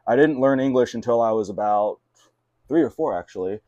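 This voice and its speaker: A young man hosts a casual podcast conversation, talking in a loose, rambling way full of "like" and restarted phrases. His first language was Mandarin, though his speech here is relaxed everyday chat. The sound is close and dry, with no room echo. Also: mid-range voice, fairly quick, dull audio. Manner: rambling, filler-heavy, many restarts. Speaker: podcast host.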